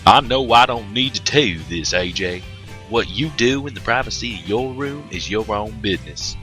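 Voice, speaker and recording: A man voices a big stoic stallion in a comic story reading. He lectures like a disappointed older brother, measured and forceful. Faint music plays behind. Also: fairly low pitch, voice pushed up. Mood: stern lecture. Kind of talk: comic fan fiction character reading.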